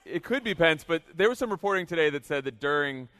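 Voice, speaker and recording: An American man hosting a casual political panel, speaking in a wry, animated way. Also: on the high side, fast, clear, resonant tone. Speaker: podcast host moderating a panel. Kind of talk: casual political panel talk before an audience.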